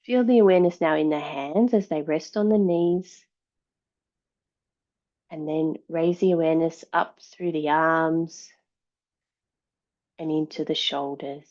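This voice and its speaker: Woman teaching yoga, really slow n soothing, lowish voice, sound is kinda dull and muffled. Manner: calm, measured, soothing. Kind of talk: guided yoga and meditation instruction.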